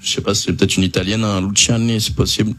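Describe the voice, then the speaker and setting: A man's voice: fairly low pitch, little pitch movement, fast, a bit bright, a little hiss or hum. A young man hosting a casual radio show, talking with many fillers and restarts. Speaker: radio host presenting an album.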